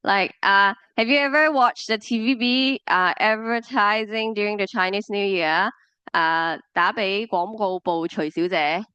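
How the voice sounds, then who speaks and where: A woman's voice: mid-range voice, unhurried, bright, forceful tone, clean tone, no room sound, muffled recording. A woman with a Hong Kong accent, a native Cantonese speaker, giving a classroom presentation and explaining with examples, with many fillers and restarts. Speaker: lecturer.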